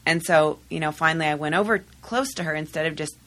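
A woman guest recounts a story in a measured, thoughtful way. Her voice is very low for a woman, and the delivery stays calm and even. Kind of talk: casual podcast discussion. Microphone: studio microphone.

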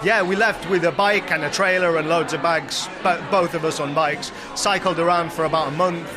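A man's voice: a little high, steady tone, moderate pace. A man from England with a Yorkshire accent, telling his story in a chatty, self-deprecating way in a street interview. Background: crowd noise.

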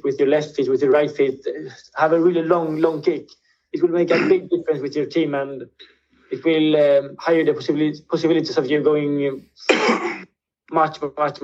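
A man's voice: on the high side, darkish tone. A young man who grew up with Swedish and Spanish, answering interview questions casually as a footballer guest. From Spain, with Swedish family. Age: young adult. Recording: slightly dull, lo-fi audio.

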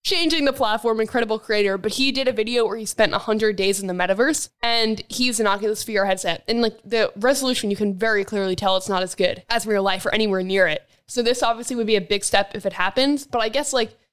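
A woman's voice: mid-range voice, fast, hardly any pauses, a bit bright, clear, resonant tone. A young woman co-hosting a casual tech podcast, talking in a conversational, reflective way. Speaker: podcast co-host.

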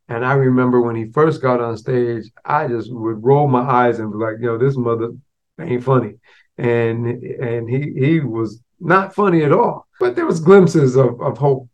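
A man talks through his own solo podcast at an even, mid-range pitch. A slight stutter makes him repeat and restart words, and he mixes street slang with business vocabulary. The recording sounds a little dull. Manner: conversational, street slang mixed with business terms. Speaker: podcast host. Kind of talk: casual solo podcast talk.

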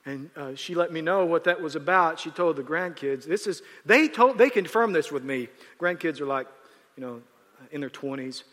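A man's voice: on the high side, lively intonation, moderate pace, fairly bright tone. A man preaching in a loose storytelling way, often restarting his sentences. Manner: rambling, self-correcting, laughs at times. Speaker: pastor. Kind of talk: conversational sermon storytelling. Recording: long hall reverb.

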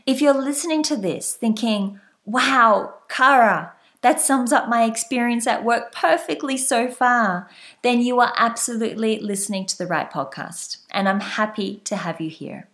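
A woman's voice: on the high side, fairly bright tone. A woman with a light Australian accent hosting her own podcast as a leadership coach, speaking straight to the listener in an earnest, motivational way. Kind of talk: solo podcast monologue.

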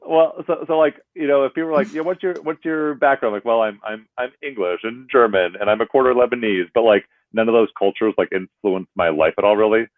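Fast-talking man on a tinny line. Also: mid-range voice, fairly even intonation, dry recording. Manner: quick, rambling, says like a lot. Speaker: podcast co-host. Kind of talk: casual chat. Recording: dry, tinny phone-like line.

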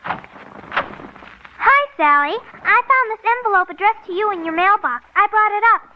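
A woman's voice: extremely high pitch, expressive, a little slow. An actress playing an eager, polite little girl in a 1940s radio drama. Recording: dull, noisy old radio recording.

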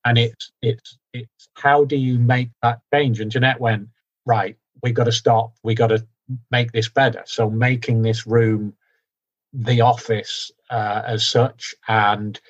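A man from the UK talking candidly as a podcast guest, loud and direct, often mocking himself. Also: medium pitch, flat delivery, slow pace, lo-fi sound. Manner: loud, direct, self-deprecating. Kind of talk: candid conversational interview.

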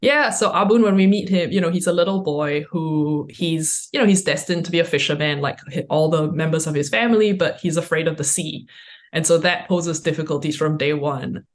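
A woman's voice: sits low, fairly quick. A woman from Singapore speaking as a guest author, explaining things conversationally in an interview.

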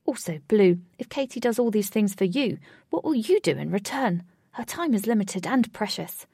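A woman puts on a grumpy male companion from a storybook. He is curt, formal and drily sarcastic. The tone is dark and husky, with creak at the edges. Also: medium pitch, animated, normal speed, no room sound, clean studio sound. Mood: impatient. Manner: curt, disdainful, formal wording. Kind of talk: storybook character voice.

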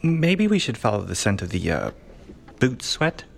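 An actor playing an earnest, admiring sidekick to a detective in a comic murder-mystery audio drama, speaking formally and a little hesitantly. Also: male voice, mid-range voice, sing-song, moderate pace, creaky, a little hiss or hum. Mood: earnest, tentative. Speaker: sidekick and narrator.

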